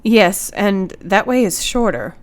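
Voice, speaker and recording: An actress playing a brave, helpful Victorian wife in a radio drama, earnest, polite and formal in manner. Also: female voice, medium pitch, very animated, slow pace. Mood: alert, certain.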